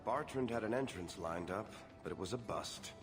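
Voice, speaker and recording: An actor playing a wry, smooth-talking dwarf merchant in a fantasy game. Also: male voice, lowish voice, lively intonation, moderate pace, lightly acted. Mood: dry. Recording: game audio with music, reverb.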